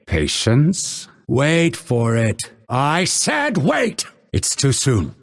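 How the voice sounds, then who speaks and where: A man voicing a cold, proud assassin in a video game, sardonic and impatient, with a gravelly, husky, theatrical and clipped delivery. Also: fairly low pitch, big pitch swings, slow pace, darkish tone, exaggerated voice. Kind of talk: video game character voice lines.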